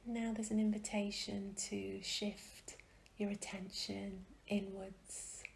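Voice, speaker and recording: A woman gently guiding a meditation in a soft, breathy, often near-whispered voice, soothing and unhurried, with long pauses. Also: mid-range voice, flat delivery, slight background noise. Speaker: meditation guide. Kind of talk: guided meditation.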